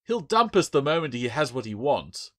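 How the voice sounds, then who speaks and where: A man's voice: mid-range voice, big pitch swings, normal speed, a bit bright, pitched above the performer's own voice. A man voicing a bitter, suspicious orphan boy in an audiobook adventure, sarcastic and accusing. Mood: indignant. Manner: indignant, accusing.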